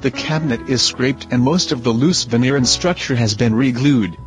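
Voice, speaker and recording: A man with a voice of ordinary pitch reads a narration in an even, plain manner. Music plays clearly under his voice-over. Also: normal speed. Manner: steady, plain reading.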